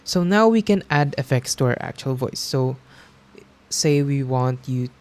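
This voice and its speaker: A man hosts a how-to tutorial in an everyday, mid-range voice. He talks casually, guiding the listener through software steps one at a time. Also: mid-range voice, expressive, measured pace. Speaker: tutorial host.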